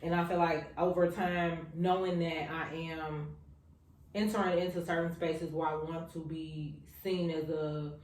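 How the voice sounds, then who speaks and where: Casting note: woman podcast host, animated and loud when excited, laughs a lot, roomy echo. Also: sits low, unhurried, slight background noise. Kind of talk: casual podcast chat.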